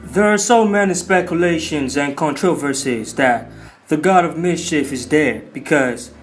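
A man hosts a movie commentary video in a hyped, informal and slangy way. His voice sits a little high, and music plays behind him. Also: a little slow. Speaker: video host.

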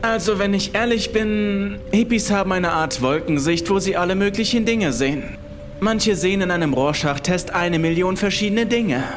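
A man's voice: sits high, normal speed, pitched above the performer's own voice, lightly acted. A man voicing a skeptical, dismissive male ocean scientist in a horror audiobook. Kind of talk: horror audiobook character dialogue. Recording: music behind the voice.

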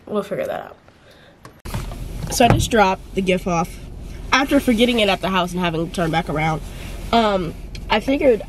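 A young woman in her twenties chats casually into a camera held at arm's length, rambling and filling pauses with ums and likes. Her voice sits at an ordinary middle pitch for a woman. Clear traffic and engine noise hums behind her in a parked car. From North Carolina, US. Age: 20s. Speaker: vlogger. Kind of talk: casual vlog chat to camera. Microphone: handheld camera or phone at arm's length.

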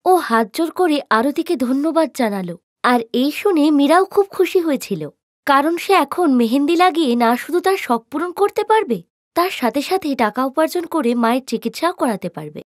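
A woman's voice: sits high, expressive, moderate pace, dry recording, studio quality. A woman narrating a moral story as a storyteller, reading from a script.

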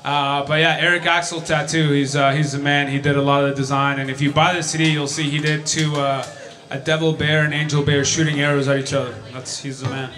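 Young man chatting from a club stage. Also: a little high. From Chile. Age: 20s. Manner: quick, relaxed talk with fillers and trailing off. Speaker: singer-songwriter. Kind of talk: live stage banter between songs. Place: small club stage, indoors. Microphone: vocal mic on stand. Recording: live club recording with guitar. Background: acoustic guitar music.

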